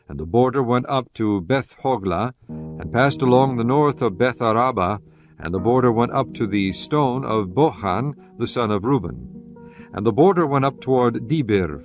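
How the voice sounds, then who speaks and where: Steady male Bible narrator. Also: medium pitch. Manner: measured, steady reading. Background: guitar music.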